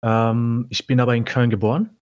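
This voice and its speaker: A young man who grew up in South Korea, a guest on a podcast, talking in a relaxed, hesitant way with many fillers. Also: mid-range voice, normal speed, no room sound, studio quality. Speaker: guest, competitive athlete. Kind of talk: casual interview chat.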